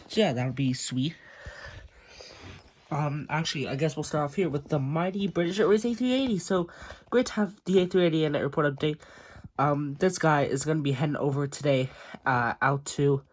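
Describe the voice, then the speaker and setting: A man's voice: a little high, a little fast. Congested young man giving a chatty tour. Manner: chatty with frequent ums and uhs. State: congested, stuffy voice. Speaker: model airport YouTuber. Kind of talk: casual video tour narration.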